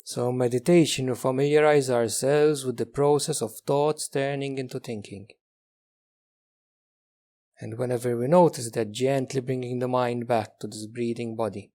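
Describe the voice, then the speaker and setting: A man leading a guided meditation as a teacher, speaking gently and unhurriedly with long pauses between phrases. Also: mid-range voice, steady tone.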